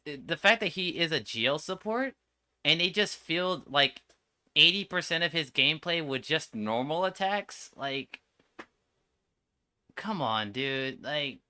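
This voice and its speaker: A man's voice: medium pitch, pushed and bright, smooth. A man giving casual, slangy reaction commentary as a game streamer, with an exasperated manner.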